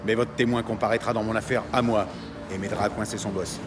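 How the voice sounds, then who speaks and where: An actor playing a hard-bargaining, territorial New York cop in a French audio drama, blunt and wary. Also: male voice, lowish voice, fairly even intonation, fast talker, close to an everyday voice. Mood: commanding, irritated. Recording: loud music and street ambience behind.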